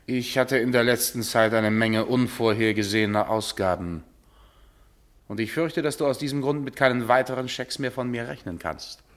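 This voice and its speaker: An actor playing a callous, philandering middle-aged husband, sardonic and biting, in a radio play. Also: male voice, mid-range voice, moderate pace, a bit bright, natural voice. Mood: cold, evasive.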